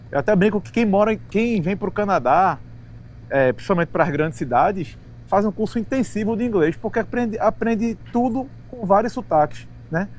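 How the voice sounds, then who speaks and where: A Brazilian man on a sports talk panel, chatting casually with slangy words and halting restarts. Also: sits high, darkish tone, a little hiss or hum.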